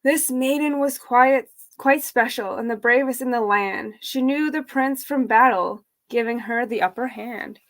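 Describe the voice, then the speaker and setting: A woman's voice: fairly high pitch, unhurried, few pauses. A woman reading a picture book as a guest, friendly and chatty, with casual asides to the audience. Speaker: drag performer, guest storybook reader.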